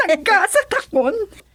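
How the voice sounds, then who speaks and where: A woman's voice: very high-pitched, sharp tone, no echo, exaggerated voice. A Filipino woman playing a cheerful, polite visiting lady in a radio comedy drama.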